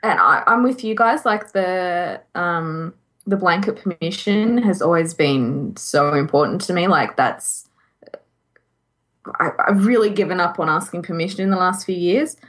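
A woman with a somewhat low voice co-hosts a podcast. She talks informally and loosely, often doubling back to repeat or reword what she started. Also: measured pace, a bit bright. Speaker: podcast co-host. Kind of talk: casual group chat.

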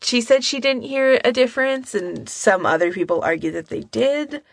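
A woman's voice: on the high side, animated, moderate pace, vocal fry. A woman co-hosting a podcast in casual discussion, talking tentatively and carefully qualifying what she says. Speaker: podcast co-host.

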